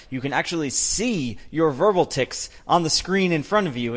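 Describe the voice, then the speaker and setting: Male host, mid-pitched, relaxed moderator in a live room with long reverb. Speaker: event host. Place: conference room with audience. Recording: reverberant hall.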